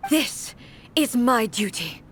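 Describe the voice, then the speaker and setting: An actress playing an imperious immortal warrior ruler in a video game, speaking in a solemn, formal and dramatic way. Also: female voice, a little high, sing-song, takes it slowly, slight background noise, heavily acted. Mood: grave, resolute. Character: proud, merciless immortal warrior woman ruler. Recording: game audio under loud music. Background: loud game battle music.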